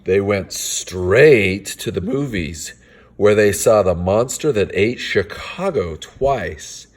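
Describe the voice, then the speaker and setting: A father reading a children's storybook aloud in an animated way, with playful, chatty asides to his kids. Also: lowish voice, slow pace.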